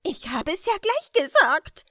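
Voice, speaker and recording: A woman's voice: very high-pitched, very animated, unhurried, pushed and bright, dry recording, clean studio sound, pitched above the performer's own voice, heavily acted. A woman voicing a disapproving, penny-pinching mother of a grown daughter in a fairy tale. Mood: shrill reproach. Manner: disapproving, screechy when upset.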